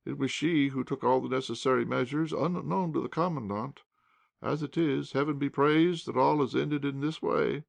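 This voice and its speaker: A man's voice: medium pitch, moderate pace, gravelly, no echo, voice pushed up. A man voicing a fussy, nervous garrison officer who talks in a fretful, pleading way.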